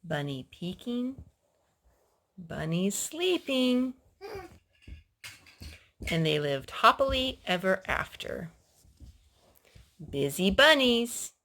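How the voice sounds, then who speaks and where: A woman's voice: medium pitch, very animated, extremely slow, long pauses, fairly bright tone. A woman hosting a children's storytime, reading picture books aloud and asking little prompting questions in a child-directed way.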